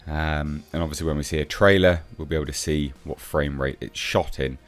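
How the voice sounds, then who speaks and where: Male reviewer, low-pitched voice, blunt sceptical off-the-cuff commentary over clear background music. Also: steady tone, a little fast. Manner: blunt, sceptical, swears casually. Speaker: film reviewer, channel host. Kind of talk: casual off-the-cuff commentary on film news.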